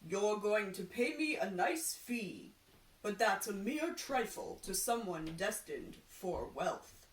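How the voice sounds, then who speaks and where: A woman voicing a sly old male fortune teller, making grand, drawn-out pronouncements in a storybook reading. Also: mid-range voice, sing-song, slight background noise. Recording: roomy echo, distant microphone.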